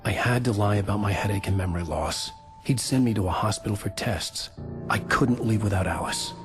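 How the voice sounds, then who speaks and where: A male actor plays a weary, haunted writer in a video game drama. His voice sits low and his delivery is terse and understated. Music plays clearly behind him. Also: brisk, lightly acted. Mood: brooding. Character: troubled male writer, brooding and weary, narrating his nightmare. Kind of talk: dramatic game acting with first-person scripted narration.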